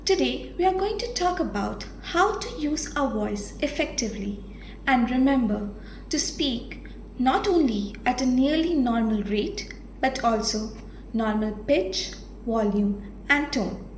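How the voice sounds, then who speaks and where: A woman giving an instructional training talk as a program manager, with deliberate, emphatic enunciation. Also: high-pitched, animated. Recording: roomy echo, faint background noise.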